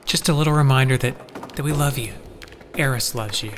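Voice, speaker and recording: A bright, forceful voice comes from a man acting as a loyal male rescuer in an audio drama. He is wry and reassuring, at middle pitch, with slight background ambience. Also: normal speed, slight background noise, lightly acted. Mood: gentle.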